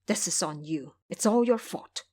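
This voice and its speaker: A woman voicing a cautious, stern male Buddhist master in an audio drama, hushed, formal and admonishing. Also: on the low side, lively intonation, normal speed. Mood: anxious, stern.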